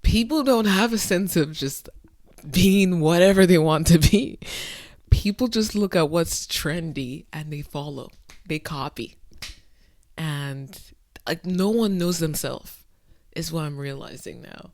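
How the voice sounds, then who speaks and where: A woman talking to her camera late at night, incredulous and exasperated, swinging between soft muttering and sudden loud outbursts. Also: low-pitched, unhurried, no room sound, studio quality. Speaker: vlogger. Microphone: phone or camera close up.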